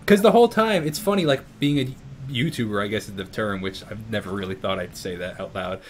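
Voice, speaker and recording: A man's voice: lowish voice, expressive, brisk, a little hiss or hum. A young man with a New York accent hosting a casual interview for his music channel over a video call. He rambles and restarts his sentences often, with plenty of fillers.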